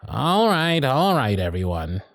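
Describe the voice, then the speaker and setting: A man playing a gruff but fond elf workshop supervisor in an ASMR roleplay, with a bossy, teasing, sardonic way of talking. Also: medium pitch, very animated, unhurried, dry recording, some chatter behind. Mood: stern, sardonic.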